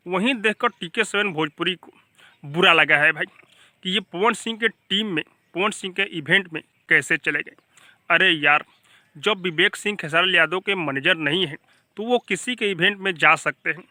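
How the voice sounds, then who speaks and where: A man's voice: sits high, pushed and bright, no echo. A man with a Bhojpuri accent giving informal, emphatic gossip news commentary as a channel host, often addressing viewers like brothers.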